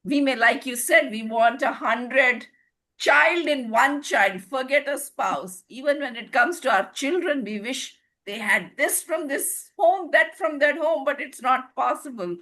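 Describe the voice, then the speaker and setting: A woman giving her opinion in a group discussion on a video call, in a deliberate, reflective, moralizing way. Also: high-pitched, lively intonation, bright, forceful tone, low-quality recording. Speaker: book club participant.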